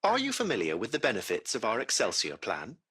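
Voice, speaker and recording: Artificial intelligence, video game, formal, courteous, composed, very animated pitch, raspy edge. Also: male voice, brisk, full character voice. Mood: composed, informative. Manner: formal, polite, precise butler-like phrasing. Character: courteous, composed male artificial intelligence running a taxi fleet. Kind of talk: scripted video game voice acting.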